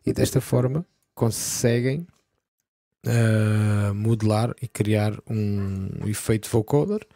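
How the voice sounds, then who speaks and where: A man talking through a software tutorial step by step over a screen recording, in a relaxed explaining way. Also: lowish voice, slow. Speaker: tutorial host.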